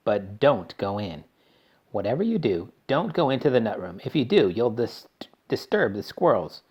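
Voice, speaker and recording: A man voicing an eccentric male candy-factory owner, brisk, bossy and theatrical. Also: fairly low pitch, sing-song, a little mellow, slightly rough, highs cut off. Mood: explaining. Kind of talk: audiobook character voice.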